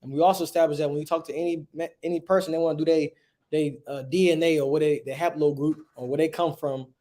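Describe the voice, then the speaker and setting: A man's voice: a little high, fairly even intonation, quick pace, warm tone. A man teaching a livestreamed religious history class, reading passages aloud and preaching emphatically, often checking with "right?".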